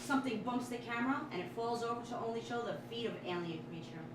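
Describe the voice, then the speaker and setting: A woman's voice: a little high, quick pace. A woman narrator reading out stage directions in scripted narration at a staged reading. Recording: distant, echoey live room with clear noise.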